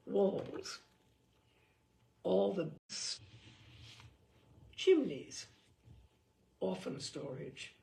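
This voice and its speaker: A woman storyteller reads comic prose with theatrical, knowing relish. Her pitch swoops widely and creaks at the edges, at an unhurried pace, in a roomy, echoing space. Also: big pitch swings, dark tone. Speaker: storyteller reading a book aloud. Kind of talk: expressive humorous narration of a mock guidebook.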